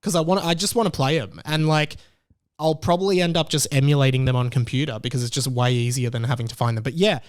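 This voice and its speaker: A man's voice: medium pitch, fairly even intonation, quick pace, smooth. A man hosting a podcast, chatting casually and rambling with lots of fillers and restarts. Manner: rambling, self-interrupting, many fillers. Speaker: podcast host.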